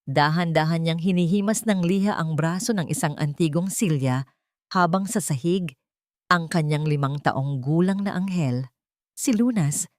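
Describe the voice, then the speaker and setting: Low-voiced woman narrating a drama. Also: dry recording, studio quality. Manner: steady, dramatic storytelling. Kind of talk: scripted audiobook drama narration.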